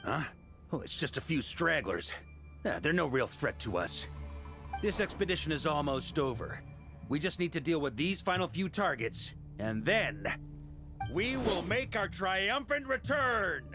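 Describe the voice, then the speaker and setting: Hearty, animated knight commander. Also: male voice, a little high, unhurried, music in the background. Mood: hearty, confident. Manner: hearty, theatrical. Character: hearty adult male knight commander, easygoing, bombastic. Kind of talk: anime video game voice acting.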